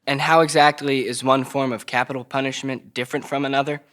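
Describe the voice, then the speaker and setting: Man playing young male murder suspect, sharp bright tone, mid pitch, cocky, defiant, sarcastic, table read. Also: level tone, fast, natural voice. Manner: flippant, sarcastic.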